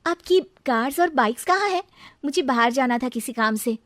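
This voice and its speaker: Woman acting a high-pitched, exasperated young bride. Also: animated, moderate pace, a bit bright, no room sound, clean studio sound. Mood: puzzled, questioning. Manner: indignant questioning, exclamatory. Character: sharp-witted, exasperated young bride confronting boastful in-laws. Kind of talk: comic Hindi audio story dialogue.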